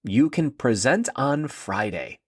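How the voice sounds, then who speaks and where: A man's voice: mid-range voice, sing-song, takes it slowly, husky, no room sound, clean studio sound, exaggerated voice. A man voicing a kind, encouraging female schoolteacher in a children's story. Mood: approving.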